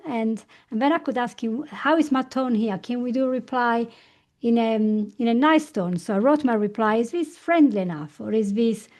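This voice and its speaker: A woman from Italy, hosting a podcast interview and asking curious, lively follow-up questions. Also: a little high. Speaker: podcast host. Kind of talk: conversational interview.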